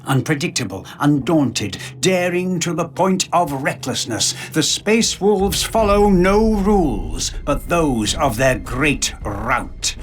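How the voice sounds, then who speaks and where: A man playing a grave, knowing storyteller, giving scripted dramatic narration for a war game in a measured, portentous manner. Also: a little high, lively intonation. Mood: ominous.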